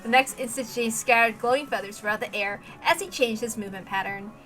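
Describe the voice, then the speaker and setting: A woman reading a story aloud as a narrator, with an animated, emphatic dramatic delivery. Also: on the high side, fast, soft background music, rough recording. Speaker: reader narrating a visual novel.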